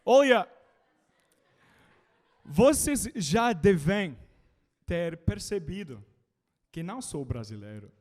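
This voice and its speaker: British male preacher, learner Portuguese, high animated voice, unhurried delivery. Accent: British English. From Britain. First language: English. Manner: animated, calls out to the congregation.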